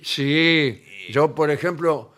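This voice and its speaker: A man with an Argentine accent, reading a tourism report aloud with deadpan, sarcastic asides as the comedy host. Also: a little high, very slow. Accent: Rioplatense Spanish.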